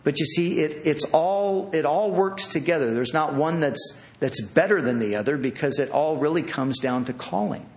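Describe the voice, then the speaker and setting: A pastor preaching a sermon to a room of listeners, earnest and pleading, with repeated phrases and restarts. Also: male voice, a little high, normal speed, few pauses. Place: church hall with an audience.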